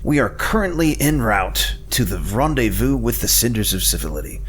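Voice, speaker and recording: A man's voice: medium pitch, sing-song, normal speed, slight background noise, natural voice. A man playing a roguish space smuggler in a scripted sci-fi audio drama, wry, defiant and sardonic. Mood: solemn, reporting.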